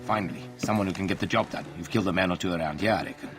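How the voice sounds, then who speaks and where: An actor playing a tough, shrewd mercenary arms trader in a video game, informal and clipped. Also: male voice, low voice, very fast, natural voice. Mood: gruffly approving. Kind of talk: video game cutscene acting. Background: music.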